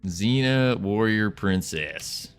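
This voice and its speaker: A man's voice: on the low side, expressive, takes it slowly, clean tone, soft background music. A young man chatting casually as a livestreamer, putting on an American Southern accent with playful, folksy cowboy talk. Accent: put-on American Southern. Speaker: live streamer chatting with viewers.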